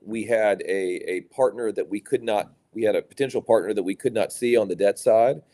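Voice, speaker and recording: A middle-aged man from Louisiana, a business executive answering interview questions in a measured way, with many fillers and restarts. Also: fairly low pitch, warm tone. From Louisiana, USA. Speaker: private equity executive, guest.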